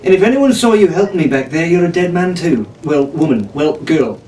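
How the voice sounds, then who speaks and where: A man's voice: fairly high pitch, animated, moderate pace, a little mellow, recorded from a distance, pitched above the performer's own voice, close to an everyday voice. A man voicing a cocky, flippant teenage boy pirate in a storybook reading, with a glib, sardonic, stumbling way of talking. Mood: urgent, persuading. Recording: echoey room from off-mic, faint noise.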